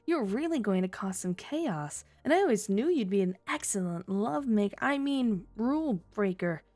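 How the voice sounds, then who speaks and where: A woman's voice: mid-range voice, animated, moderate pace, a little mellow, lightly acted. A woman playing a flirty, scheming underworld informant in an ASMR audio drama, with a teasing, coy, mock-sweet delivery. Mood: sly, teasing.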